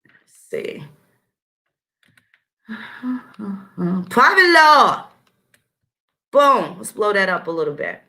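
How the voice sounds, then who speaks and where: A woman's voice: medium pitch, very animated, very slow, pauses often, bright, forceful tone. A woman vlogger giving blunt, profane commentary straight to her audience, breaking off to read legal text aloud.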